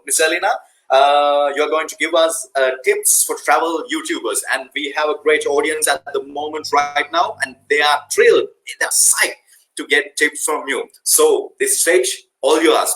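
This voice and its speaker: Young guy emceeing an online event, showy intros, lots of uh, bright voice. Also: fairly high pitch, fairly even intonation, moderate pace, poor audio. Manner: showy emcee with filler uhs. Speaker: event host. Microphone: video call.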